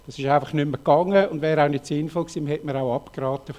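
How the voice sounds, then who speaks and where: A man in his late fifties with a Swiss German accent, frankly telling his own story in a talk, halting often with fillers. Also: on the high side, steady tone, a little mellow. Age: 50s. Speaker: speaker giving a personal testimony. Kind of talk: personal testimony talk with slides.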